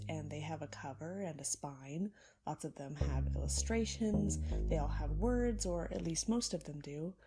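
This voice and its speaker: Lower-pitched woman narrating over music. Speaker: library student presenting a book review. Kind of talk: scripted video essay narration. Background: background music.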